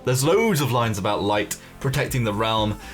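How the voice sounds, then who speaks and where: A man's voice: fairly low pitch, animated, moderate pace. A man hosting a gaming video, giving casual, speculative news commentary in an informal, tentative way, as if thinking aloud. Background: music behind the voice.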